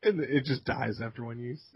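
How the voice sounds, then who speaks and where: A man's voice: medium pitch, expressive, unhurried, vocal fry, noisy background. A man co-hosting a casual gaming podcast. He talks in a laid-back, agreeable way and laughs often. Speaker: podcast co-host. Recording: dull lo-fi podcast audio.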